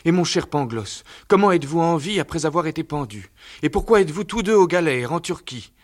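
A man's voice: medium pitch, normal speed, pitched above the performer's own voice, lightly acted. A man voicing Candide, a naive, earnest young man, in a storybook reading; he grows exclamatory when moved. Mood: excited.

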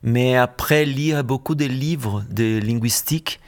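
A man's voice: medium pitch, slow. Brazilian man speaking hesitant French. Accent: Brazilian Portuguese. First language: Portuguese. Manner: searching for words, many hesitations and restarts. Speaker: musician guest. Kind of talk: radio interview.

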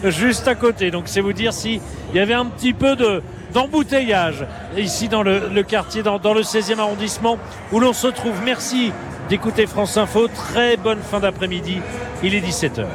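A man presents live radio news in a loose, conversational way. His voice sits fairly high, and music can be clearly heard behind him. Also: moderate pace.

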